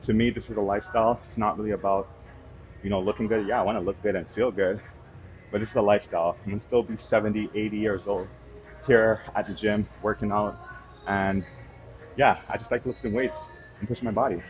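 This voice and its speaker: A man's voice: on the low side, fairly quick, faint music behind, low-quality recording. A man in his thirties, a fitness vlogger, talking casually in a gym with plenty of fillers. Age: 30s. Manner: relaxed, rambling, with fillers. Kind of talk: casual vlog chat. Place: gym, indoors.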